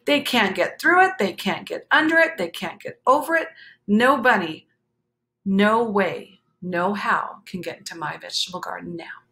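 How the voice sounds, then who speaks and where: A woman voicing an excitable, gloating male gardener in a storybook, with emphatic, boastful exclamations. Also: mid-range voice, normal speed. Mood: triumphant, defiant. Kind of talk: storybook character read aloud.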